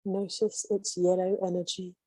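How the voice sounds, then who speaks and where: A woman gently guides listeners through a meditation in a slow, soothing way. Her voice is mellow and slightly breathy, with very little rise and fall. The sound is close and dry. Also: fairly low pitch, flat delivery, no echo, low-quality recording.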